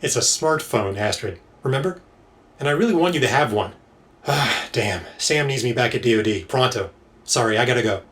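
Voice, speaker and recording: A man's voice: medium pitch, lively intonation, moderate pace, a bit bright, husky. An actor playing an earnest male scientist in an audio drama, speaking in a precise, explanatory way. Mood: upbeat, apologetic. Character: earnest male scientist, husband absorbed in his work.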